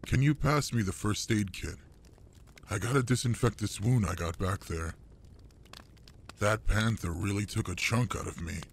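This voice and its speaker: A man playing a gruff older male monster adventurer in an intimate audio roleplay, fond and teasing with a human partner. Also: fairly low pitch, normal speed, a little hiss or hum, natural voice. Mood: steady, wary.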